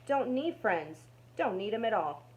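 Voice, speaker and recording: A woman's voice: medium pitch, big pitch swings, unhurried, low-quality recording. Woman as male storybook rat, standoffish loner, grumpy, curt, grumbling delivery. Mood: sullen muttering. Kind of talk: children's storybook read-aloud.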